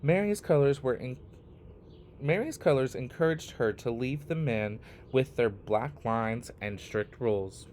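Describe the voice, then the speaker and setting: A man reading a picture book aloud expressively, in short phrases with deliberate, dramatic pauses. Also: mid-range voice, expressive, darkish tone, slight background noise. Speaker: read-aloud storyteller.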